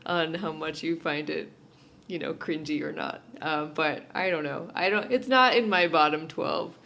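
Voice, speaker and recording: A woman's voice: low voice, moderate pace, vocal fry, fairly bright tone, a little hiss or hum. A woman co-hosting a podcast, talking casually in an informal, easygoing manner. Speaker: podcast co-host. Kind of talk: casual TV recap chat.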